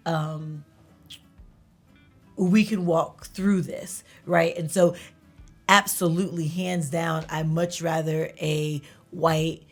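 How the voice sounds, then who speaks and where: A woman's voice: low voice, flat delivery, slow, soft background music. A woman co-hosting a talk show, sharing her views in casual discussion.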